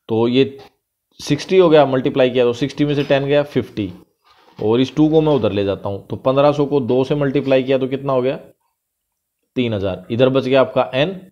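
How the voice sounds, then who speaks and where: A man's voice: medium pitch, darkish tone, highs cut off. A male math teacher with an Indian accent, talking through a worked problem step by step over a screen recording. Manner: explains step by step, reads English question lines slowly.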